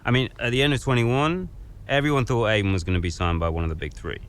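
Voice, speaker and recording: An actor playing a cocky young racing driver in a video game, smug, sarcastic and taunting. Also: male voice, medium pitch, fast, fairly bright tone, close to an everyday voice. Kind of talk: video game cutscene acting.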